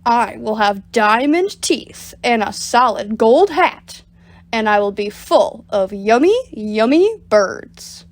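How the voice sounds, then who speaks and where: A woman's voice: fairly high pitch, expressive, takes it slowly. A woman voicing a lazy, hungry, scheming male alligator from a storybook, pleading and boastful in an exaggerated way. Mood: greedy, boastful.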